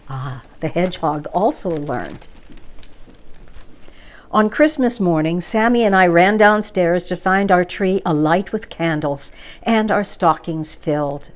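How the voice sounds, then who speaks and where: A woman reads a children's storybook aloud. Her voice is mid-pitched and her pace is slow and measured. She narrates expressively, acting out the dialogue as she goes. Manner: measured, animated read-aloud with acted dialogue. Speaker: story time reader.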